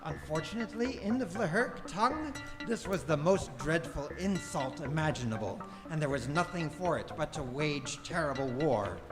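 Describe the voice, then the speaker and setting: A man acts as the dryly wry narrator of a live radio play. His slightly high voice is measured and dramatic. Music plays clearly behind him in a reverberant hall. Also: animated, natural voice.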